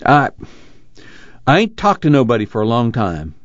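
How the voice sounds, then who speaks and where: A man's voice: medium pitch, huge pitch swings, moderate pace, no room sound, dull audio. A man voicing an embittered, haunted rural loner, ranting irritably in rustic dialect in an audiobook novel. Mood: apologetic. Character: embittered, agitated rural man, haunted and lonely, grieving lost land.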